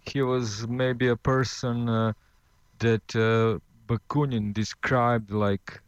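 Male interview speaker, smooth and unhurried, on a dry, slightly muffled recording. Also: medium pitch, level tone, no room sound.

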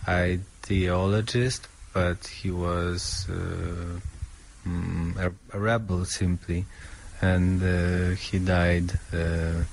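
Guy being interviewed, really low voice, talks slow, keeps searching for words in english. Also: flat delivery, gravelly, a little hiss or hum. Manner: halting, thoughtful, searching for words. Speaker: author interviewed about his book. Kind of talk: interview answers in English as a second language.

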